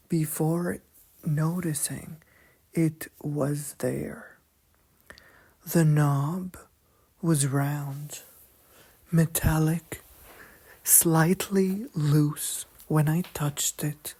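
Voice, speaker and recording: Female bedtime narrator: soft, breathy near-whisper, dark tone, soothing monotone, unhurried pauses. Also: deep voice. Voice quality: soft, breathy, often slipping toward a whisper. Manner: calm, monotone, hushed, with long pauses. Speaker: sleep story narrator. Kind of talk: slow soothing bedtime sleep story narration.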